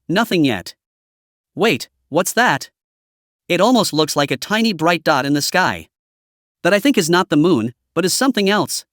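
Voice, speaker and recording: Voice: mid-range voice, a bit bright, no echo, clean studio sound. A presenter improvising dramatic mock breaking-news commentary for a fictional emergency scenario.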